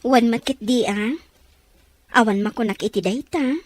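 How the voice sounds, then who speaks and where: A woman acting an excitable, anxious female friend in a melodramatic Filipino audio drama. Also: fairly high pitch, moderate pace, lightly acted. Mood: dismayed.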